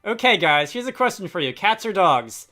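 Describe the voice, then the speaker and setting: Man as young game sailor, friendly, plain-spoken, curious, slightly high bright voice. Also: very animated, moderate pace, heavily acted.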